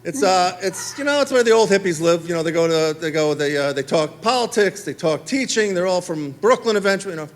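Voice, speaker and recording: A middle-aged man, telling a stand-up story on a theater stage and acting out the dialogue in quick back-and-forth. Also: sits high. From Queens, New York. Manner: animated, acts out dialogue in quick exchanges. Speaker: stand-up comedian.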